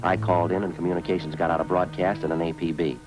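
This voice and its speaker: A man's voice: deep voice, flat delivery, fast talker, natural voice, poor audio. An American man playing a terse, businesslike police sergeant in a clipped, matter-of-fact old radio crime drama. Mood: grave, factual. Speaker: lead actor and narrator. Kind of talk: 1940s-50s radio drama, clipped procedural narration. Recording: old radio recording with music behind.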